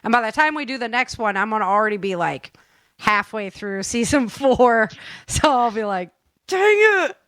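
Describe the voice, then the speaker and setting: Casting: female host, slightly high voice, teasing and informal, very dry recording. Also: lively intonation, moderate pace, fairly bright tone, no echo. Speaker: host, audio drama author. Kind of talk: casual chat.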